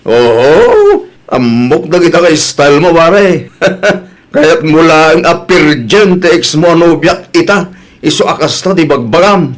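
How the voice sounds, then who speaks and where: A man's voice: a little high, sing-song, a little slow. A man with a Filipino Ilocano accent playing a stubborn, defensive young son in a comedy drama. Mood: defiant, mocking.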